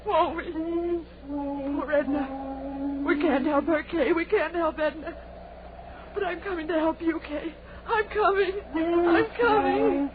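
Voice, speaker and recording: A very high-voiced, distraught young woman in a vintage radio horror play. She speaks slowly and wails her words theatrically, half out of her mind. The old recording is thin, tinny and noisy. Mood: frantic, tearful. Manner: theatrical, repetitive, wailing delivery. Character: frantic, grief-mad young woman lost in a snowstorm.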